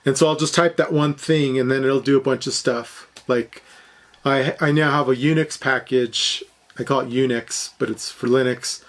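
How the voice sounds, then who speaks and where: A man giving a casual, unscripted explanation as a programming video host, halting often with lots of fillers as he thinks aloud. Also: medium pitch, steady tone.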